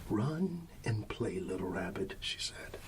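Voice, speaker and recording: A man with a lowish, gravelly voice plays a gentle father at bedtime in ASMR style. He speaks very slowly and softly, often slipping toward a whisper. Noticeable ambient noise sits behind his voice. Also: big pitch swings. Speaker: ASMR roleplayer playing a father reading a bedtime story. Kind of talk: soft ASMR bedtime storybook reading, talking to a child.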